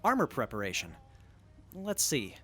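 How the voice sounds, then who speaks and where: A man's voice: medium pitch, wildly swooping pitch, moderate pace, a bit bright, husky, heavily acted. A man playing a polite, anxious and meticulous infantry soldier in an audio drama, speaking precisely. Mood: polite, fussy.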